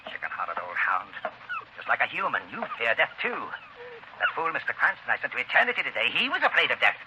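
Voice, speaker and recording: An actor playing a cornered, defiant murderer in a theatrical 1930s radio thriller style. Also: male voice, mid-range voice, wildly swooping pitch, fast talker. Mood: gloating, bitter. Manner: theatrical, swinging from guarded muttering to frantic outbursts. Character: cornered, defiant murderer, cold and fearful, grown man. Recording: noisy vintage 1930s radio broadcast recording.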